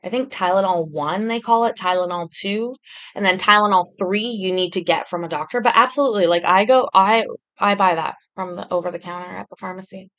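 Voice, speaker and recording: A woman's voice: medium pitch, a little fast, a bit bright. A woman from Britain hosting a live stream in casual, rambling chat, reading and answering viewer comments with lots of ums and restarts.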